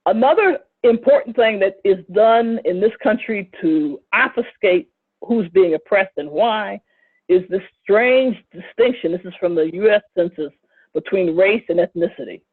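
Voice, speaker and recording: A woman lectures over slides in a measured, deliberate way, pausing on fillers and stepping aside into quick remarks. Her voice is husky. The sound is dry and tinny, like a phone line. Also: mid-range voice, lively intonation, dry recording.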